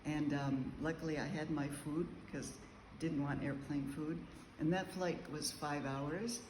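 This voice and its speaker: A man with a slightly raised voice rambles through a casual travel diary, full of ums and pauses. He talks in a large high-ceilinged room that rings with echo, and faint ambience hums behind him. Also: steady tone, noisy background. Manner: meandering, chatty, frequent ums and pauses. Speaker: travel vlogger.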